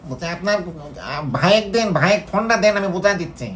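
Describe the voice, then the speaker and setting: A man's voice: high voice, lively intonation, fast talker, fairly bright tone, a little hiss or hum. A man with a Bangladeshi accent playing a pushy, impatient coconut seller and delivery guy on a prank call, nagging and mock-indignant. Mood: bossy, insistent.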